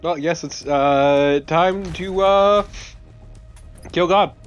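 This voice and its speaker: A man's voice: fairly high pitch, drawn-out words, smooth, dull audio. Wry man chatting over game music. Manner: wry, joking. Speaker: let's play co-commentator. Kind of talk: casual gameplay commentary chat. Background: video game music.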